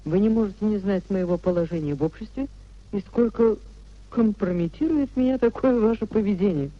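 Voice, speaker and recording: An actress playing a proud, indignant married society lady with measured, formal delivery in a 1950s radio play. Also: mid-range voice, no echo, natural voice. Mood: reading coldly. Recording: dull 1950s radio drama recording.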